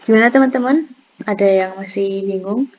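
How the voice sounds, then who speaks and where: A woman's voice: medium pitch, moderate pace. Woman moderating over dull call audio. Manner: repeats phrases after the tutor, relays questions. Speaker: club moderator. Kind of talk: moderating an online class.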